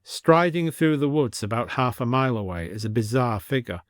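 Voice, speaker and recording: British guy reading a story, mid pitch, scripted narration, really dry close sound. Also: lively intonation, normal speed, no room sound.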